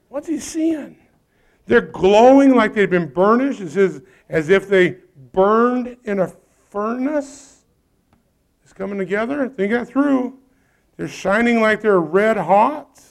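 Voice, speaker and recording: A pastor preaching a sermon, swinging between loud urgent peaks and low quiet asides. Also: male voice, creaky.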